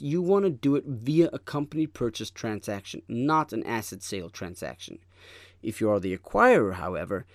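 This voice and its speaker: A man reading a business article aloud as scripted narration, in a measured, deliberate way with clear phrasing. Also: mid-range voice, dry recording, studio quality.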